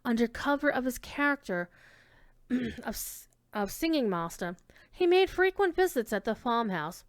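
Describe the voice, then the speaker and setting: A woman giving an expressive dramatic reading of classic literary narration, with emphasis on key words. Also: fairly high pitch, unhurried, no room sound.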